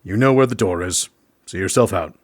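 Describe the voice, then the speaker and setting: A man acting in an audio drama as a discreet medic, speaking in a measured, formal, coy way. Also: deep voice, a little hiss or hum, lightly acted. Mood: calm, instructive. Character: dry, discreet male medic quietly aiding prisoners. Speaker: voice actor in a fiction podcast.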